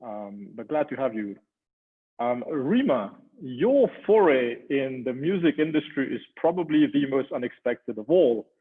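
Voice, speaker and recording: Male webinar moderator, husky, tinny phone-line sound, chatty with ums. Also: mid-range voice, animated, a little slow. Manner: conversational, many ums, warm personal asides. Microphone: video call.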